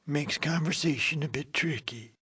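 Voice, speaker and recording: A man voicing a weary, wry old ghoul in a video game, with a raspy, wheezy voice and a resigned drawl. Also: a little high, pushed and bright, full character voice. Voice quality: raspy, wheezy, coughing rasp. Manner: slow, drawling, wryly resigned. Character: weary old male ghoul, mutated human, wry and sardonic. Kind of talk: video game character dialogue.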